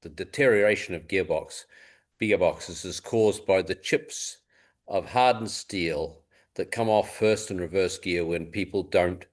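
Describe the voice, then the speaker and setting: A man with a distinctly low voice talks through technical advice. He sounds like a practical, experienced rebuilder speaking on a group call. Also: unhurried. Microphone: conference call.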